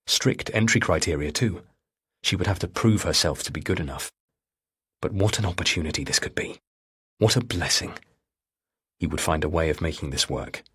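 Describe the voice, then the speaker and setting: Man, low-pitched, husky, measured audiobook narration, suspense fiction. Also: a bit bright. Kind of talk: scripted narration of a thriller novel.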